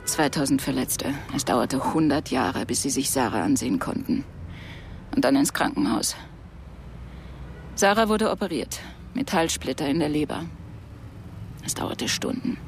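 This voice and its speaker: An actress playing a grieving, guilt-ridden mother in a radio drama, speaking haltingly as if confessing. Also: very deep voice, expressive, a little hiss or hum, exaggerated voice. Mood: pained recollection. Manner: halting, confessional, emotionally strained. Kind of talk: German radio drama acting.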